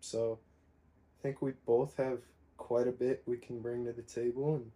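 A man's voice: medium pitch, normal speed. A man in his twenties co-hosting a podcast, talking casually and rambling with lots of fillers. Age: 20s. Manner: relaxed, rambling, many fillers. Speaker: podcast co-host. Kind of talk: casual conversation.

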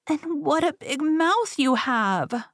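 A woman's voice: very animated, a little slow, no room sound, clean studio sound, exaggerated voice. A woman voicing a trusting, polite little girl in a fairy tale, with a small voice. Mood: wondering. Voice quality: small, high voice.

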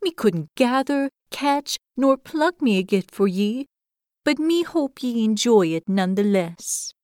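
A woman's voice: a little high, animated, slow, darkish tone, dry recording, studio quality, voice pushed up. A woman voicing a tiny, timid but brave male hedgehog who speaks in quaint broken grammar. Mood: earnest.

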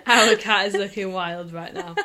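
Bright-voiced young woman. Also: medium pitch, normal speed. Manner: rambling, chatty, laughs often. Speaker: podcast host. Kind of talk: casual chat between friends. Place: shared home.

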